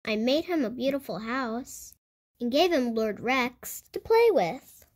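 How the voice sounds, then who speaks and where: High, animated storybook reader, a woman. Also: a little slow. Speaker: children's storybook reader and host. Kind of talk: animated read-aloud narration for kids.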